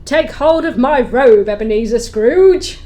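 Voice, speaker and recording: A woman's voice: a little high, lively intonation, a little slow, mellow. A woman acting as a commanding Christmas spirit in an amateur audio play, theatrical and grand. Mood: jovial, grand. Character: commanding Christmas spirit, jovial and booming, unyielding.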